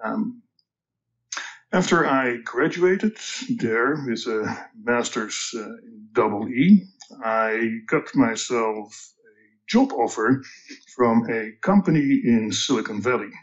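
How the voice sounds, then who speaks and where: A man in his sixties with a Dutch accent tells his story slowly and carefully, pausing often with ums and restarting his sentences. His voice sits at an average pitch. The recording sounds a little dull and muffled. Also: animated. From Netherlands. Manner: measured, hesitant with many ums and restarts. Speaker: guest. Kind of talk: reflective career storytelling.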